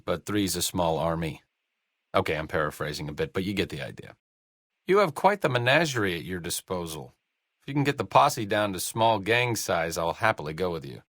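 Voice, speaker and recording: A wry American doctor from a post-apocalyptic video game. His voice sits fairly low and swings expressively as he talks. He is dry, sardonic and articulate, an idealist with a sarcastic streak, recorded close and very dry. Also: a bit bright, dry recording, exaggerated voice. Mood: wry, friendly. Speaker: video game companion character. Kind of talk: video game voice acting, conversational dialogue.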